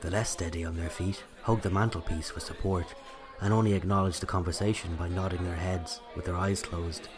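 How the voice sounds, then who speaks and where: A man's voice: low voice, steady tone, a little fast, music in the background. A man with an Irish accent narrating a scripted first-person story.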